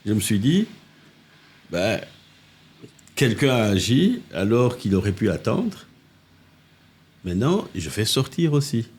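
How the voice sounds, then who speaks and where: A husky, fairly low-voiced older man speaks. He is a mayor telling animated stories as a podcast guest, circling back and restarting his sentences as he goes. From Congo. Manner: animated anecdotes with restarts and asides. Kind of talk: casual interview storytelling. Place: living-room podcast set.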